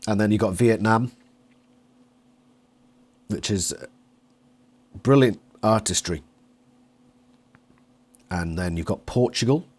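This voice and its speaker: A man's voice: lowish voice, slow pace, pauses often, darkish tone. A British man in his late twenties chatting casually and with opinions as an interviewer. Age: late 20s. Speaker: interviewer, longtime fan.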